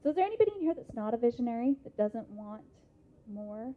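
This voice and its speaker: Higher-pitched American woman, muffled live recording. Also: big pitch swings, fast. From Idaho, United States. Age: 30s. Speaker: motivational speaker. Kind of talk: interactive motivational talk to a live audience. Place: conference hall.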